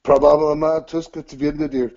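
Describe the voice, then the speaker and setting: A man whose first language is English, speaking French haltingly in an interview over an audio call and searching for words with many restarts. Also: fairly high pitch, level tone, warm tone, highs cut off. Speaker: interview guest, team manager.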